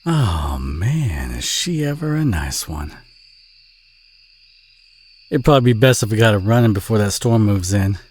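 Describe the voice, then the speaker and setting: A man playing a friendly, modest country boy with a Southern US accent, chatty and gently teasing in an intimate audio roleplay. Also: fairly low pitch, lively intonation, unhurried, pauses often, breathy edge. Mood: friendly concern. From southern United States.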